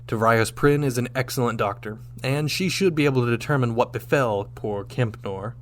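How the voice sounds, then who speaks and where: An actor playing a firm, wryly sardonic starship captain in a sci-fi audio drama, speaking crisply. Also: male voice, mid-range voice, moderate pace, lightly acted. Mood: composed. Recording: clear background noise with hum.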